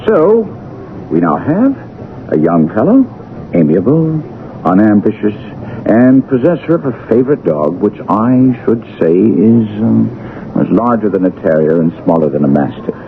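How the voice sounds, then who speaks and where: An actor playing a keen, self-assured detective with a brisk, emphatic, teasing manner in a 1970s radio drama. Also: male voice, fairly low pitch, big pitch swings. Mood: confident, reasoning. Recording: tinny old radio broadcast, faint noise.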